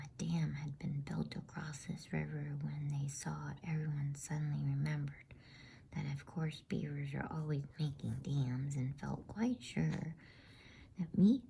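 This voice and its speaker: A woman reading a bedtime story in a soothing, breathy ASMR whisper with deliberate pauses. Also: low voice, mellow. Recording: faint background noise.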